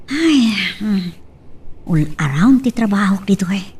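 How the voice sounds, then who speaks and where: A woman with an Ilocano accent playing an eager, expressive mother working abroad as a maid in an amateur audio drama. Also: mid-range voice, a little slow, slightly rough. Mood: musing. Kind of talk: amateur Ilocano radio-style drama acting. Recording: distant, off-mic home recording.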